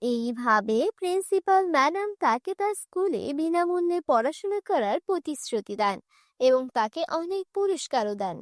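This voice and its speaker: A woman's voice: high voice, big pitch swings, moderate pace, no echo, clean studio sound. A woman narrating a scripted fairy tale for children as its storyteller.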